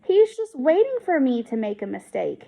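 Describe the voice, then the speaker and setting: A woman's voice: high voice, very animated, moderate pace, gravelly, mellow, pitched above the performer's own voice, full character voice. A woman voicing an anxious, earnest third-grade boy from a children's storybook, pleading and worked up.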